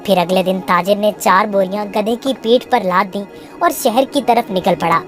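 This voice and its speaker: A woman's voice: medium pitch, moderate pace. A woman reading a scripted moral tale for children as the storyteller. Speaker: narrator. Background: music.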